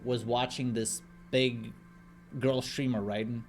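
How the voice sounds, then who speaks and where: A man's voice: mid-range voice, little pitch movement, takes it slowly. A man guesting on a casual chat over a voice call, bantering and swearing as he tells stories. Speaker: guest streamer. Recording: voice call with lofi music behind. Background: lofi music.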